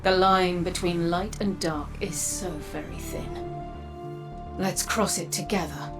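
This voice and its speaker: An actress playing a mysterious android warrior in a video game, a calm, grave mentor with measured, portentous delivery. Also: female voice, on the low side, music in the background. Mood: grave, persuasive. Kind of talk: video game cutscene acting.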